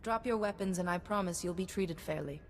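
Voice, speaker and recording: An actress playing a calm, self-assured female Jedi hero in a video game. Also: lowish voice, fairly quick, slight background noise, close to an everyday voice. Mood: steady. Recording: game audio with long reverb.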